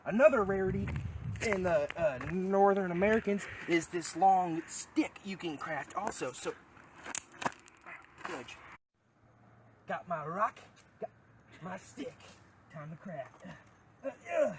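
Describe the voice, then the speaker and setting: A young man doing a deadpan mock nature tour outdoors, his voice fairly high and lively. It is a phone clip with a clear hiss behind him. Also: takes it slowly, long pauses. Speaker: vlogger. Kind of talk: goofy improvised skit. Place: outdoors on an overgrown road. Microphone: phone camera.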